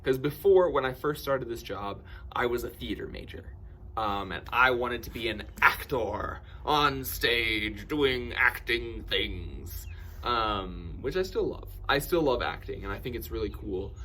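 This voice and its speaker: A man telling personal stories in an animated, theatrical way, acting out bits of dialogue with excitement. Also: medium pitch, moderate pace, a little hiss or hum. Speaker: camp storyteller and science educator.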